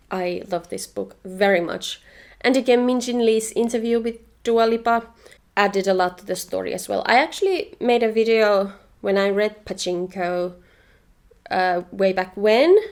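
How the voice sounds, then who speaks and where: A young woman from Finland, with Finnish as her first language, talks through her reading in an easy, chatty vlog manner. She comes across as eager and opinionated, with a voice of ordinary middle pitch for a woman. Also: a little mellow. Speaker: book vlogger. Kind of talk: casual book review chat.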